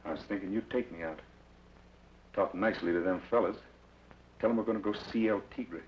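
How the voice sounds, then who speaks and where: A male actor plays a hard, commanding gunman in an old film drama. He is deep-voiced, terse and dryly sardonic. The sound is dull, with noise behind it. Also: a little fast, natural voice. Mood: firm, wry. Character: tough, wry, commanding American gunman.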